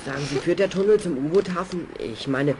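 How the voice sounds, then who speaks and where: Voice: mid-range voice, a little fast, darkish tone, lightly acted. A performer playing a suspicious, inquisitive boy in a German children's audio drama, earnest and questioning. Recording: vintage radio play, faint background noise.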